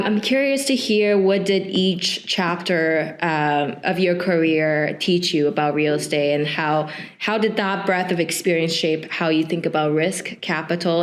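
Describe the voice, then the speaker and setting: A young woman hosting an interview podcast, reading a prepared introduction and then asking long questions. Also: fairly low pitch. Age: young adult. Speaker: podcast host, student interviewer.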